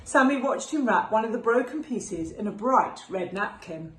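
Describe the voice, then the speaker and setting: A woman reading a children's storybook aloud as an expressive narrator. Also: on the high side, moderate pace. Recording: roomy echo with faint background noise.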